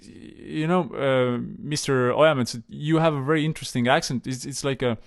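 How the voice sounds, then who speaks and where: A man in casual conversation, telling stories in a hesitant, relaxed way, with many fillers and restarts. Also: mid-range voice, a little fast. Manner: storytelling, relaxed.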